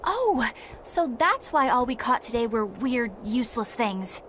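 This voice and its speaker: Slow, weary young woman. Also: a little high, big pitch swings, fairly bright tone, a little hiss or hum. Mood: weary, resigned. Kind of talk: English-dub video game acting.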